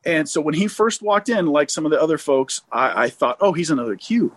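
A man calling in to a fan talk show, chatting casually in a halting, hesitant way. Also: a little high, lively intonation, fairly bright tone, no room sound.

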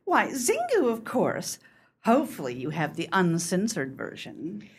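A woman's voice: medium pitch, wildly swooping pitch, a little slow, slightly rough, heavily acted. A woman acting an eager, gossipy and silly book club lady in a radio play, gushing and conspiratorial. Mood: knowing.

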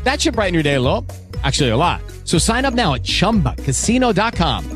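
A man delivers a scripted commercial read with very lively, swooping intonation. His voice sits a little high, and light music plays behind him. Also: brisk. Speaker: advertisement voice.

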